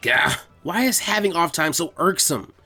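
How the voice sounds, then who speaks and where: A man's voice: a little high, lively intonation, moderate pace, pushed and bright, slightly rough, faint music behind, heavily acted. A man voicing a hyperactive, easily exasperated young boy ninja in an anime fan story, whining and exclaiming. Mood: bored, irked.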